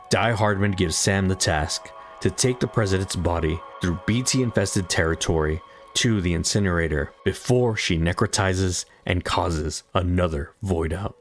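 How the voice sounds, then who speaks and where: Low-voiced man narrating a story recap. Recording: music bed behind voice. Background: background music.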